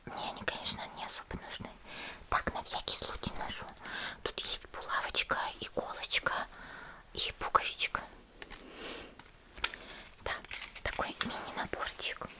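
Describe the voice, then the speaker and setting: A woman playing a cheerful, caring young female demon slayer in a soft, chatty whispered ASMR roleplay. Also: normal speed, pauses often, close to an everyday voice. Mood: gentle, chatty. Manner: gentle, chatty, hesitant little murmurs.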